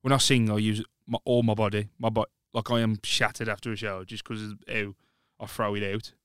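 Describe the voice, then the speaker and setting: A man's voice: fairly low pitch, little pitch movement, a little fast, fairly bright tone, smooth, no room sound, clean studio sound. A man chatting candidly as a podcast guest. He talks about his singing in a rambling way, with lots of restarts. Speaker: podcast guest, lead singer of a band. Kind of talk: casual chat.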